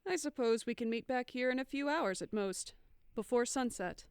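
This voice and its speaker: A woman's voice: fairly high pitch, normal speed, a little mellow, clean tone, no echo, clean studio sound, lightly acted. A woman playing a practical, caring wood elf hunter in an audio drama, speaking with composure.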